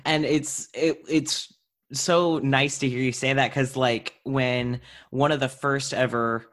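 Male podcast host, medium pitch, casual and informal interview chat. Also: fairly even intonation, takes it slowly, fairly bright tone.

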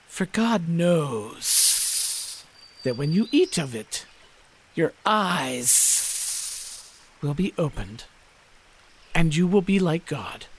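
A man playing a sly, tempting serpent in a Bible audio drama, with a breathy, insinuating, drawn-out delivery. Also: a little high, sing-song, a little hiss or hum, heavily acted. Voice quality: husky, breathy.

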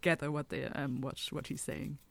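A man playing a tabletop game, talking quickly and hesitantly with restarts. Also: on the high side, little pitch movement, creaky, a bit bright. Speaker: player. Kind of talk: casual tabletop roleplay chat.

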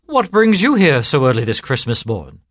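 Man playing a genial priest, very animated pitch. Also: moderate pace, dry recording, clean studio sound. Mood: cheerful, teasing. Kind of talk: storytelling character voice.

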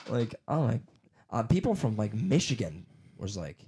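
Laughing young guy, lively voice. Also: mid-range voice, moderate pace, breathy edge. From United States. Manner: laughing, swearing, doing silly accent impressions. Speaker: podcast co-host. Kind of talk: casual chat.

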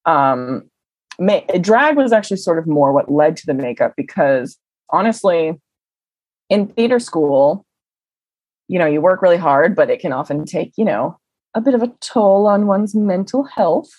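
A young woman telling stories in a casual interview, chatty and animated, with lots of "um" and "like". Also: on the low side, unhurried, no echo, muffled recording. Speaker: interview guest. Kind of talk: casual conversational storytelling.

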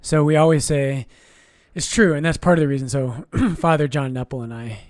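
Relaxed priest, dry mic. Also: male voice, fairly high pitch, moderate pace, dry recording. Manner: relaxed, explains doctrine with jokes and self-corrections. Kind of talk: casual podcast chat.

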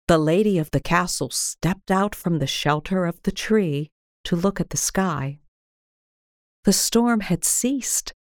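Slow, low-voiced woman reading a story. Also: darkish tone, no room sound, clean studio sound. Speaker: story reader. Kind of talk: scripted storybook narration.

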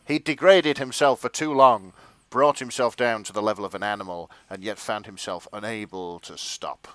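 A man with a theatrical, expressive delivery, co-hosting and narrating a comic dramatic reading with casual chat. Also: lowish voice, normal speed, no echo. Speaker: narrator and co-host.

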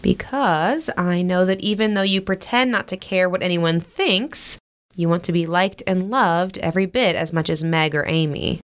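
Woman as calm middle-aged mom, low register, tender, measured reassurance, modern audio drama. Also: clean tone, natural voice. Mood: tender, reassuring.

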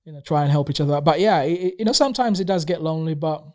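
Man, grew up in Tanzania, Swahili first language, slightly high voice, rambling casual chat. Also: rapid-fire, darkish tone. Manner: rambling, many fillers and restarts. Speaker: guest. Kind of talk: casual conversation.

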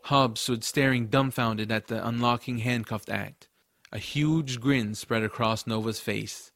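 A man playing a casual, excitable boy hero, telling a fantasy adventure in the first person. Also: mid-range voice, unhurried, no echo, studio quality, faint music behind. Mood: narrating. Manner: casual, boyish phrasing. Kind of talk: first-person audiobook narration and drama.

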